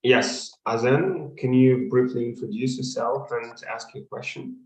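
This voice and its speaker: A man who is not a native English speaker, coaching in a webinar Q&A and pausing often on fillers. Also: medium pitch, fairly even intonation, roomy sound, rough recording. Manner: measured, many hesitant fillers. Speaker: consulting career coach. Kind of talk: webinar talk and Q&A.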